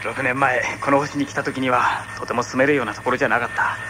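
A man's voice: mid-range voice, fairly even intonation, fast talker, close to an everyday voice. An actor playing a determined, anxious husband and father settling a new planet, in a dramatic radio drama. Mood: hopeful, reflective. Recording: 1980s radio recording with background noise.

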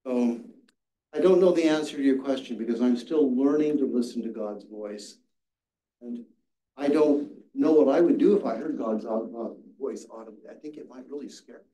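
A man's voice: medium pitch, normal speed, warm tone. An older man teaching a Sabbath school class, telling a story earnestly and often restarting his words, heard from across the classroom. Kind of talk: teaching a Bible study class. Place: church classroom with seated audience. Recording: echoey room from far off.

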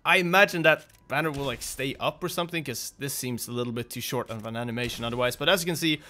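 A man's voice: medium pitch, fast talker, bright, forceful tone. A young man hosting a gaming video, talking off the cuff in a casual, hesitant, rambling and apologetic way.

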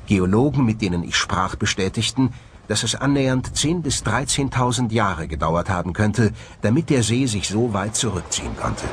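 A man's voice: lowish voice, animated, normal speed, noisy background. A man presenting a documentary in scripted narration.

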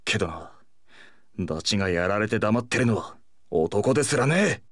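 A man playing a brash, hot-headed teenage delinquent brawler with rough, cocky speech in an anime fighting game. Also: fairly low pitch, expressive, normal speed, fairly bright tone, no echo, studio quality. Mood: firm, earnest.

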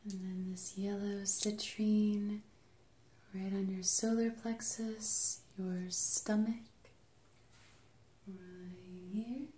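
A young adult woman leading an ASMR energy healing session, soft-spoken and soothing, sometimes dropping into a whisper. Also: medium pitch, level tone, very slow, long pauses. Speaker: Reiki healer and channel host. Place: small dim indoor treatment room. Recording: roomy, slightly noisy home recording.

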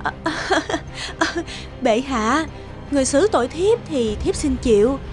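A woman's voice: high voice, lively intonation, measured pace, music in the background. A woman voicing a cruel, scheming young queen in a fairy tale cartoon, theatrical, haughty and sly. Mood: fearful, pleading.

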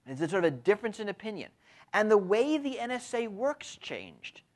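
A man in his fifties giving a university lecture, speaking emphatically and often tagging his points with a quick question, recorded on a lapel mic in a lecture room. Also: high voice. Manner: frequent tag questions, lively emphatic delivery. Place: lecture room with window blinds.

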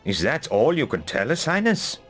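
Grumbly old soldier in a game, sardonic, swingy pitch, faint music. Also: male voice, huge pitch swings, normal speed, a bit bright. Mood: challenging. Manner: blunt, sardonic. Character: blunt, grumbling old male veteran military mentor. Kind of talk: video game voice acting.

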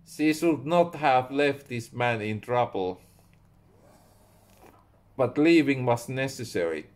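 Slow halting Finnish-accented man reading. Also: mid-range voice, lively intonation. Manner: halting, rereads and corrects his words. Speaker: author reading his own book. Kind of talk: slow reading of a story aloud. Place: small room indoors at home.

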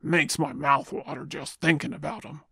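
A woman voicing a sly, hungry male big bad wolf in a children's puppet show, gravelly and falsely polite. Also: low-pitched, fairly even intonation, pushed and bright, no room sound, studio quality, pitched below the performer's own voice, exaggerated voice. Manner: slow, scheming, falsely polite.

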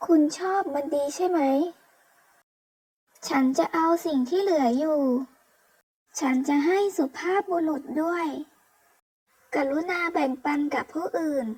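A woman's voice: very high voice, a little slow, pauses often, a little mellow, rough recording. A woman voicing a cheeky, food-loving young woman held captive in a comic-story dub, with chatty, playful delivery. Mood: contented. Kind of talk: comic-story dubbing read aloud.

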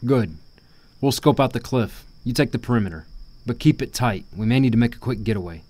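A man playing a steady, commanding special-ops army captain in an audio drama, talking in clipped military phrases. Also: mid-range voice, a little fast, dry recording, natural voice. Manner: clipped military radio talk.

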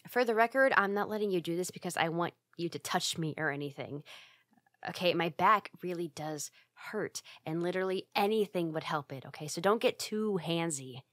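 A woman's voice: on the low side, normal speed, dry recording, clean studio sound, lightly acted. A woman playing a flustered, easily embarrassed tsundere roommate in an ASMR roleplay, stammering and defensive but secretly fond. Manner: stammering, defensive, huffy, hesitant.

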